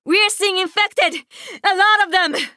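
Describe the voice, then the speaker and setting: A woman's voice: very high voice, fairly even intonation, unhurried, sharp tone, no echo, studio quality, full character voice. An actress playing an earnest, resolute young female rescue soldier in a video game, speaking in military callouts. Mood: focused, brisk. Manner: earnest, urgent, military radio phrasing. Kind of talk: video game voice acting, barked combat callouts.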